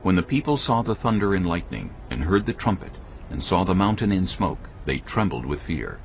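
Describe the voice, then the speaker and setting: A man giving a measured, solemn scripted narration of scripture as the narrator. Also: low voice, big pitch swings, low-quality recording. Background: music.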